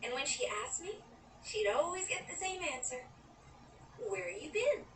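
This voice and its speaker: A woman's voice: very high-pitched, very animated, unhurried, fairly bright tone, heavily acted. An actress playing a wistful, dreamy young woman who tells her own story in an intimate, girlish way in an audio drama. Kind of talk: audio drama acting with first-person narration. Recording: roomy, distant, noisy copy of audio drama.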